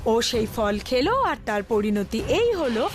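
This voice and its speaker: High, animated woman storyteller. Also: unhurried. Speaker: narrator. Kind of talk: scripted children's story narration. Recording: faint music behind the voice.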